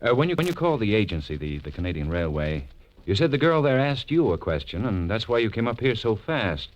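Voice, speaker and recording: Nervy, decent male client: hesitant, earnest, highly animated pitch, dull vintage radio sound. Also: brisk. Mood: subdued, relieved. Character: anxious but decent male blackmail victim, a client. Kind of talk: 1940s radio crime drama acting.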